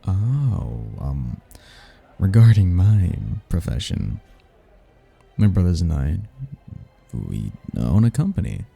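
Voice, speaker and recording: A man playing a suave, prideful demon in a romantic ASMR roleplay, smooth-talking, courtly and flirtatious, with a husky, gravelly voice. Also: low-pitched, big pitch swings, slow pace, warm tone, heavily acted. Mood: amused, confiding. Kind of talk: ASMR roleplay, one-sided romantic conversation. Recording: music behind the voice.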